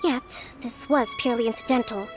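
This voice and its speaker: High-voiced young female leader, acted by a woman. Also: fairly even intonation, faint music behind, close to an everyday voice. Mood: stern, authoritative. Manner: formal, measured, articulate. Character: young female military leader and priestess, composed, stern yet compassionate. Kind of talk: anime game voice acting.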